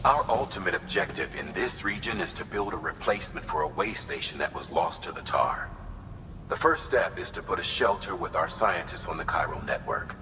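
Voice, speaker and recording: An actor voicing a grave, commanding operations officer in a video game, giving measured, serious instructions. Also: male voice, low-pitched, expressive, noisy background. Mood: businesslike briefing. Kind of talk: dramatic video game mission briefing.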